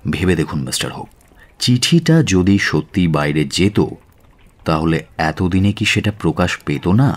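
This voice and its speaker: A man playing a calm, self-assured gentleman detective in a radio drama, reasoning in a measured, persuasive way. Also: sits low. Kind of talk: Bengali radio drama acting.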